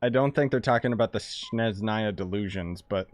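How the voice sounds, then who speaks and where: A man's voice: fairly low pitch, moderate pace, crowd noise. A man giving casual, informal, slangy commentary as he plays through a game. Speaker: game streamer.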